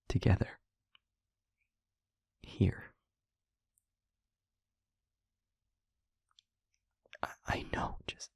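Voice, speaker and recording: A man playing a weary demon in an intimate audio roleplay, speaking earnestly and haltingly in a breathy half-whisper. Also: fairly low pitch, a little mellow, dry recording, studio quality, exaggerated voice. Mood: hesitant, pleading. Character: weary male demon confiding a painful truth. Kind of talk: scripted audio roleplay, intimate monologue to listener. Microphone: close microphone, dry.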